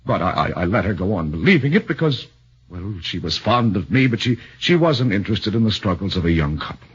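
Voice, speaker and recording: A man's voice: on the low side, big pitch swings, fairly quick, slightly rough, exaggerated voice. A man voicing an anxious young accused husband who speaks nervously and earnestly, stammering, in a 1940s radio drama on a muffled old broadcast.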